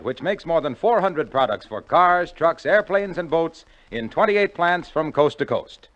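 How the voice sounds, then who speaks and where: A man's voice: fairly high pitch, lo-fi sound. An American man announcing on 1940s radio with a polished, emphatic delivery. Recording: band-limited vintage 1940s radio broadcast.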